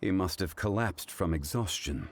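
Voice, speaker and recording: An actor playing a calm, dignified ancient man who speaks in a measured, formal way. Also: low voice, slight background noise.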